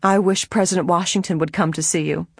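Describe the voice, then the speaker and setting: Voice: medium pitch, fairly even intonation, fast, gravelly, breathy edge, no echo, clean studio sound. A narrator voicing a playful aunt who acts a mock-formal colonial lady in an audiobook. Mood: playfully grand.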